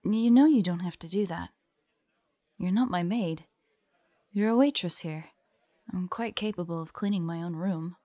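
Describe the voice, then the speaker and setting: A woman playing a weary, protective demon hunter in an audio drama, gentle yet resolute, with a measured, solemn delivery. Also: fairly low pitch, dry recording, close to an everyday voice. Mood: gentle, tired.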